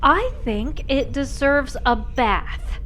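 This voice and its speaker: A woman's voice: sits high, lively intonation, slow pace, fairly bright tone, slight background noise, full character voice. A woman playing a sweet, playful, whimsical spaceship crew member in a sci-fi comedy audio drama. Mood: tender, cutesy. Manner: cutesy, whimsical.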